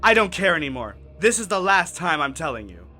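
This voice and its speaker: An actor playing a furious, defiant survivor in a science-fiction radio drama, forceful and confrontational. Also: mid-range voice, very animated, fairly quick, soft background music. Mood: angry, defiant.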